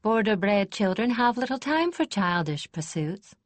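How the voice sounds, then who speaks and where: A woman voicing a composed noblewoman in an audiobook, measured and formal. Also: medium pitch, big pitch swings, dry recording, pitched above the performer's own voice.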